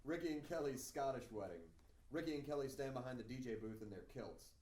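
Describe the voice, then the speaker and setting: A man reads out stage directions as the narrator of a script reading. His voice is medium in pitch, a little away from the mic in an echoey room. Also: brisk, a little mellow. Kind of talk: reading screenplay stage directions at table read. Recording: echoey room, distant, faint noise.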